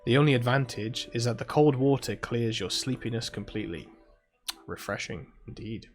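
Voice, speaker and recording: A man's voice: mid-range voice, normal speed, faint music behind, close to an everyday voice. A British man reading a sleepy schoolgirl mage. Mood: drowsy. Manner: drowsy, grumbling first-person reading. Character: sleepy proud teenage girl mage. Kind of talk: reading a visual novel aloud.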